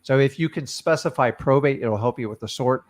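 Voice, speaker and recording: A man's voice: medium pitch, brisk. A man hosting a coaching call, giving blunt and casual advice to students over a video call. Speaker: host and wholesaling coach.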